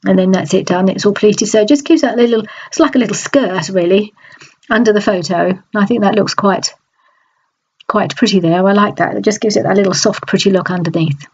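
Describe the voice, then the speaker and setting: A woman with a stuffy, nasal voice from a cold, chatting in a relaxed voice-over about a craft project, with many small restarts. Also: mid-range voice, brisk, husky, lo-fi sound. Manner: chatty, hesitant, frequent restarts. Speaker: scrapbooking crafter. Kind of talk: process video voice-over.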